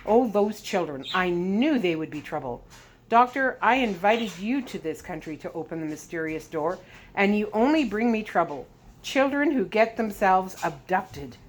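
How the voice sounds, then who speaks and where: A woman's voice: mid-range voice, lively intonation, moderate pace, natural voice. Woman putting on a haughty, irritable fat president. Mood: irritated. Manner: pompous, impatient. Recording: clear background noise.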